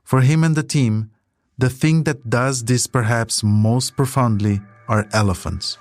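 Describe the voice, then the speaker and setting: A man's voice: mid-range voice, a little slow, darkish tone, no echo, faint music behind. A man delivering scripted documentary narration as a podcast narrator, telling a story in composed sentences.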